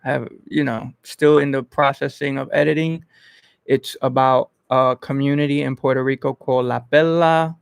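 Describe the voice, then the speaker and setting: A man from the Bronx, hosting a casual livestream and thinking aloud in an informal, halting way. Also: mid-range voice, steady tone, vocal fry, clean tone, dry recording. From Bronx, New York. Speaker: host of an online entertainment interview channel. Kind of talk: casual livestream chat answering viewers.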